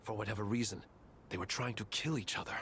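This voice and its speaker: An actor playing a dutiful, respectful officer in a video game, reporting in a formal, matter-of-fact way. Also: male voice, lowish voice, fast, a bit bright, slight background noise, natural voice. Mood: urgent, sober.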